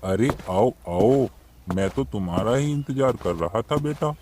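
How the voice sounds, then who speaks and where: A man voicing an elderly, kindly pigeon uncle in a cartoon moral story, with an avuncular, measured manner. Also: mid-range voice, lively intonation, background noise, lightly acted.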